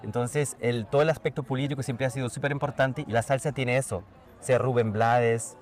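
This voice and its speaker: A man speaks in a mid-range voice, loose and conversational, circling his points with fillers and little tag questions. He sounds like a DJ chatting about his scene in a relaxed interview. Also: medium pitch, fairly quick. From raised in a Chilean exile household. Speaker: salsa DJ and festival organizer. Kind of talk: casual interview talk.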